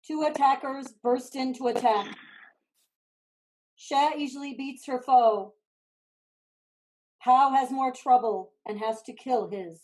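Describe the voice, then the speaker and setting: A woman's voice: high-pitched, steady tone, takes it slowly, pauses often, poor audio. A woman narrating stage directions in a scripted play reading.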